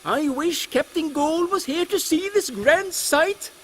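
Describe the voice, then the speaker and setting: A man's voice: very high-pitched, slow, a bit bright, a little hiss or hum, voice pushed up, full character voice. A man voicing an awestruck, sentimental engineer, florid and earnest, in a steampunk audio comedy. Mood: awestruck, moved. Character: awestruck, effusive Indian engineer from Bombay, male.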